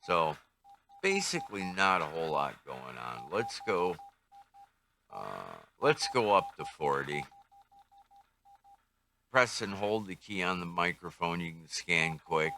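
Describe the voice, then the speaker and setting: An older man talks through a casual hands-on review in a low-pitched voice with a bright edge. He takes his time, speaking slowly and tentatively as he works things out aloud. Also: sing-song, a little hiss or hum. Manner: unhurried, tentative, thinking aloud. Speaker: radio reviewer.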